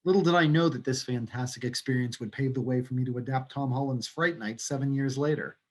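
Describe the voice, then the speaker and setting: Male presenter, husky mid-range voice, scripted stage introduction, theatrical flair with wry self-deprecation. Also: mid-range voice, fairly quick. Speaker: theater company director and playwright introducing a livestream reading. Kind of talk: scripted introduction speech with playful asides.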